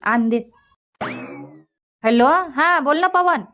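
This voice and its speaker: A woman voicing a fond, gently nagging older village mother in a cartoon comedy, colloquial and insistent, with a rural Vidarbha Marathi accent. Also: sits high, animated, very slow, full character voice. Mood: casual.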